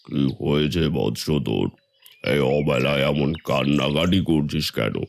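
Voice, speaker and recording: A man's voice: a bass voice, unhurried, vocal fry, slight background noise, full character voice. A man voicing a fatherly, cryptic wandering holy man who speaks in solemn, old-fashioned phrases in a cartoon tale. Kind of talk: Bengali cartoon folk-tale voice acting.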